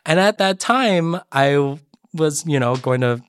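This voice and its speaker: A middle-aged man, a podcast guest, tells a personal story earnestly in a relaxed interview and often restarts his sentences. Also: a little high, takes it slowly, smooth, no echo, studio quality. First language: Korean. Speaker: podcast guest, education advisor. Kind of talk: conversational interview.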